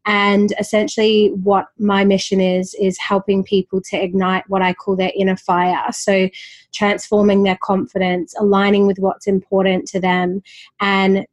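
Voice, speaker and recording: A woman in her thirties with a mild Australian accent that has drifted toward English, getting over a small cold. She talks openly and reflectively as a podcast guest, in a fairly flat, level tone. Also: medium pitch, normal speed. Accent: Australian, softened by years in London. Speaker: podcast guest, confidence coach. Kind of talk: casual interview chat.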